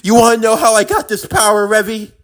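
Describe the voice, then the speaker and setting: A man's voice: high voice, a little fast, fairly bright tone. A man playing a defiant, bitterly sarcastic young man in an intense anime-style drama, with a profane, taunting, emphatic delivery. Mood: anguished fury.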